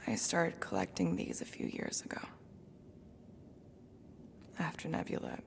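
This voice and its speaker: An actress playing a guarded, weary alien former assassin in a cinematic video game, speaking in a halting, reflective way. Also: female voice, sits low, very animated, creaky, in a whisper, exaggerated voice. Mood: sorrowful, vulnerable.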